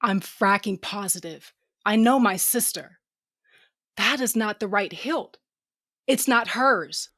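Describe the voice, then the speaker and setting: A woman's voice: mid-range voice, takes it slowly, pauses often, fairly bright tone, no echo. Woman playing a tough sardonic female captain, dry close recording. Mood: blunt, determined. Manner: blunt, wry, slangy. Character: tough, sardonic, foul-mouthed female ship captain with a cybernetic eye. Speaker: narrator and lead character. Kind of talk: first-person audio drama narration and dialogue.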